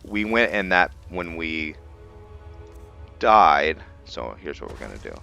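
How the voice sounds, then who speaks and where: A man with a low voice gives casual gameplay commentary at an unhurried, slow pace. He thinks out loud, halting and restarting as he goes. Music plays clearly behind his voice. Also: very animated. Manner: halting, thinking aloud, trailing off mid-phrase. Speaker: gaming host narrating a playthrough. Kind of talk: casual let's-play commentary. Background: game music behind the voice.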